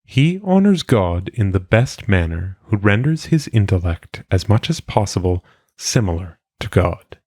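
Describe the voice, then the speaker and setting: A man reading philosophical maxims aloud in a solemn audiobook style, with measured, deliberate phrasing. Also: fairly low pitch, sing-song, a little mellow, no echo, clean studio sound. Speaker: reader.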